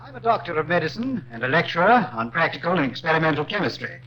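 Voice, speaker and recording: An actor playing a confident Victorian chemistry lecturer and doctor testifying in a radio drama, formal and emphatic. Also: male voice, a little high, big pitch swings, fast, bright, forceful tone, highs cut off. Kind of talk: 1950s radio crime drama. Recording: noisy old radio recording.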